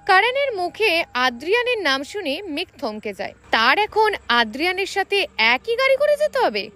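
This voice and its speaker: A woman reading a scripted romantic story as an expressive narrator. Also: very high voice, normal speed.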